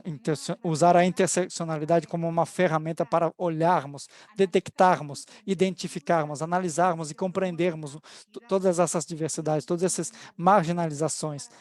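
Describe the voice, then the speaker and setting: A woman's voice: lowish voice, a little mellow. A woman in her forties from Spain, speaking Portuguese with a Spanish accent and summarizing a webinar panel over a video call, hesitant and full of restarts. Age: 40s. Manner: hesitant, with frequent restarts and fillers. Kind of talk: thoughtful spoken summary. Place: home, online event.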